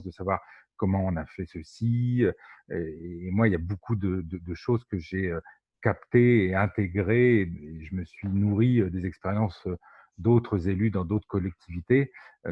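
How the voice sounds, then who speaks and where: Low, husky man on an echoey call. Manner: hesitant, halting. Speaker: elected local official. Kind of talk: informal remarks in an online meeting. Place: video conference, roomy sound. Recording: muffled, echoey video call.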